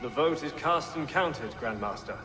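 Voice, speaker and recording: An actor playing a proud, resolute revolutionary politician in a video game, formal and declamatory. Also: male voice, a little high, lively intonation, normal speed, echoey room, music in the background, close to an everyday voice. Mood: grim resolve. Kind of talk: video game cutscene acting.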